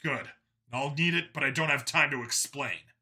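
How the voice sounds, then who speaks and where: A man's voice: medium pitch, moderate pace, sharp tone. Man playing a hard, curt human cop. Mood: terse.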